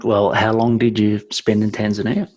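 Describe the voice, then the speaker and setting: Low-voiced podcast host. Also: male voice, little pitch movement, normal speed, a little mellow. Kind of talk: interview questions.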